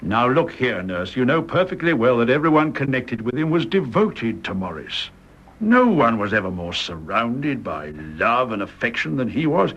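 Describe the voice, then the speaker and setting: Expressive, courteous British major. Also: male voice, medium pitch. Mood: reproachful. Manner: polite, measured, formal. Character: courteous, upright retired police major, protective of family. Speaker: actor in a radio drama. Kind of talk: radio drama acting. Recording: dull, muffled radio drama recording.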